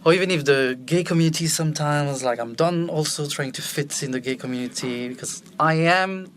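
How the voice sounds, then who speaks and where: A man's voice: a little high, fairly quick, a bit bright. A man from Belgium whose first language is French, a film director guest talking candidly and with animation about his life, laughing easily. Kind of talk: candid personal conversation.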